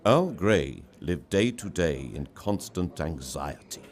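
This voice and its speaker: A man reading a story aloud in an expressive, theatrical storybook style. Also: low-pitched, slow pace, noisy background. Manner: theatrical, dramatic delivery. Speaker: story reader.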